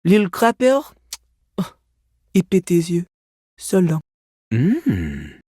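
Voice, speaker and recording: A boastful French male poet-rapper from a video game. The French accent is thick with haughty drama, and the pitch leaps about expressively. The sound is dry and close, with every pompous flourish delivered theatrically. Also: wildly swooping pitch, slow pace, warm tone, breathy edge, no echo, exaggerated voice. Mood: condescending. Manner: haughty, dramatic, speaks of himself in third person.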